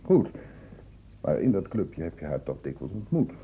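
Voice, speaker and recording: A man playing a shrewd senior doctor in a Dutch radio play, brisk and probing, with a condescendingly paternal authority. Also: sits low, very animated, warm tone, slightly rough, heavily acted. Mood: probing, fatherly. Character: shrewd senior male doctor questioning a student, brisk, fatherly. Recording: thin phone-like old radio recording, hissy.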